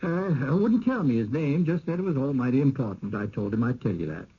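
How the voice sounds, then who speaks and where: A very raspy, man in an old radio play. He is sly and hesitant, with folksy drawn-out evasions and a swinging pitch, on a dull, muffled recording. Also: expressive. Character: sly, folksy small-town man who fibs and warns newcomers. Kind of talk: radio drama acting.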